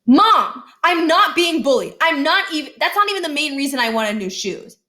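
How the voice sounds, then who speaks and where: A woman's voice: sits high, lively intonation, moderate pace, pushed and bright, pitched above the performer's own voice. Woman voicing a whiny, pleading young schoolboy. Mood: exasperated pleading. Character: whiny young schoolboy wheedling his mother.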